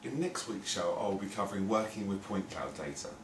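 A young British man presenting a software tutorial, explaining informally step by step in a husky voice. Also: low-pitched, lively intonation, fairly quick, background noise. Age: young adult.

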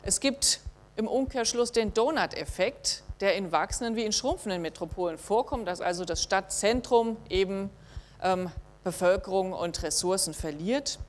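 A middle-aged woman lectures from slides in a lecture hall, at a middling pitch for a woman. She speaks in a measured way, pausing often with small fillers as she explains. The tone is academic and explanatory. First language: German. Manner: measured, thinking aloud with frequent fillers. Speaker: university lecturer.

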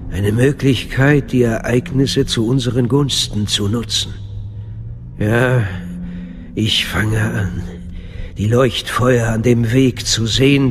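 An actor playing a calculating, commanding Sith master in a radio drama, speaking in a deliberate, imperious way. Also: male voice, mid-range voice, close to an everyday voice. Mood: quietly scheming. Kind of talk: German science-fiction radio drama acting. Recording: dramatic music under the voice.

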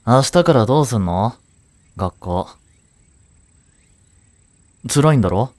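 Man acting as teenage schoolboy, easygoing, cheeky, protective, lively swooping pitch, unhurried, slangy, romantic audio drama. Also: no echo. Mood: gentle, concerned. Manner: casual, slangy, teasing, gently reassuring. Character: laid-back, cheeky, protective male high school student.

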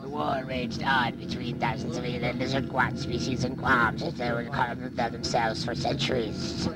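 A man's voice: medium pitch, little pitch movement, moderate pace, over loud music. A man narrating an amateur science fiction storyboard in a noisy home recording.

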